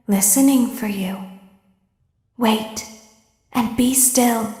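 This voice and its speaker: A high, slow, measured voice of an eerie female robot clown, as a woman acts her out. She talks in calm, cryptic sentences. Everything echoes with a long reverb, as if in an empty hall. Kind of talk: fan voice acting of a game.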